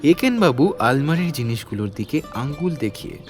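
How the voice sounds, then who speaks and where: A man's voice: mid-range voice, big pitch swings, moderate pace, over music. A man narrating a scripted detective story as its narrator.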